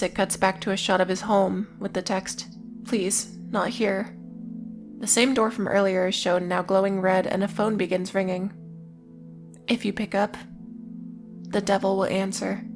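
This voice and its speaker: Woman narrating a video essay, flattish intonation. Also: mid-range voice. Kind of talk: scripted narration with casual asides. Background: music.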